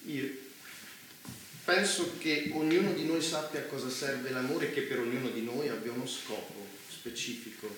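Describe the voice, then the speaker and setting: Far from the mic in a very reverberant room with faint noise, an actor plays a ruthless male ruler of a dark stage drama. He is aloof and cryptic. He muses and needles, leaving deliberate pauses. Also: mid-range voice, lightly acted. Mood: cool, detached. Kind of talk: staged two-hander drama dialogue.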